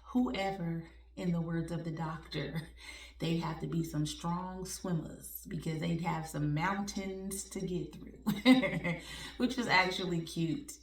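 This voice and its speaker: A woman in her forties talking candidly and warmly to her viewers in a casual, self-joking way. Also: lowish voice, steady tone, takes it slowly, echoey room, recorded from a distance. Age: 40s. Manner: candid, conversational, laughs at herself, uses y'all. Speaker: vlogger. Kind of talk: personal heartfelt talk to viewers.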